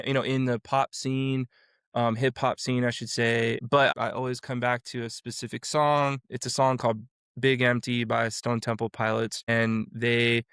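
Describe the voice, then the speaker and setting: A man's voice: mid-range voice, fairly bright tone, clear, resonant tone, no echo, clean studio sound. A man in his forties from Florida, a vocal coach and producer, talking casually as an interview guest and rambling through his story with many restarts. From Florida, USA.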